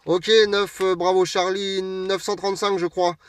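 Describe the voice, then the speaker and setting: A man's voice: sits high, flat delivery, a little slow, slight background noise. A man from France chatting casually and a little hesitantly as an amateur radio operator, speaking from a parked vehicle. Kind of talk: casual radio contact chat. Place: parked vehicle outdoors on an open plateau. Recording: distant mic, recorded away from the speaker.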